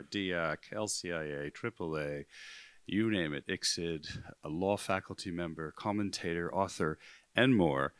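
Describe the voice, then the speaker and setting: A man on a conference stage introducing the next speaker on a handheld mic, reeling off credentials in a steady cadence. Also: sits low, clean tone, dry recording. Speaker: event moderator.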